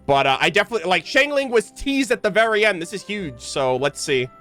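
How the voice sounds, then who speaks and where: A man's voice: sits high, sing-song, brisk, a bit bright. A man giving casual livestream commentary on a game in an enthusiastic, gushing way. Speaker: game streamer. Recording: game music behind.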